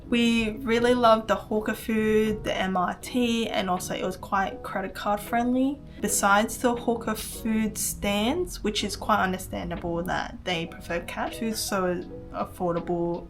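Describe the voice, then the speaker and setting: A woman's voice: medium pitch, steady tone, unhurried, hardly any pauses, faint music behind. A young woman with an American accent, reading a scripted travel vlog voice-over and recommending meals one by one. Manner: earnest, recommending, reads list items. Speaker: travel vlogger.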